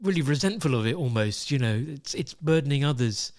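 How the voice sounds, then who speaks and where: A man's voice: medium pitch, expressive, a little fast, darkish tone. A British man co-hosting a podcast, chatting thoughtfully and conversationally, often tagging his points with "right?" or "you know". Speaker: podcast co-host. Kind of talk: casual podcast chat.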